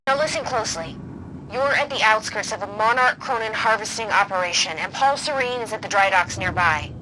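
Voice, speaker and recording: A woman's voice: medium pitch, a little fast, lightly acted. A woman playing a tough, sardonic ally in a video game, commanding and urgent in a naturalistic style. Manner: commanding, sarcastic. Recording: clearly noisy, ambient vehicle sound.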